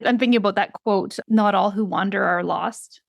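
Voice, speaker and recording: A woman hosting a podcast interview, asking questions in a relaxed, easygoing way. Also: mid-range voice, normal speed, creaky, no room sound. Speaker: podcast host. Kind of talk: casual interview chat.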